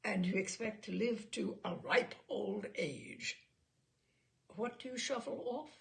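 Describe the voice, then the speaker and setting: A woman's voice: medium pitch, unhurried, gravelly. A woman reader playing a formal, courteous, methodical examiner who questions a witness in a comic story. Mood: brisk, probing.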